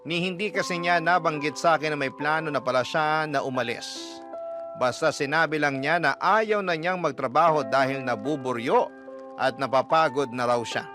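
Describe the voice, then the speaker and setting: Earnest male storyteller over loud music. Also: a little high, normal speed. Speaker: radio storyteller. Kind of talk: first-person scripted narration of a letter. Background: prominent background music.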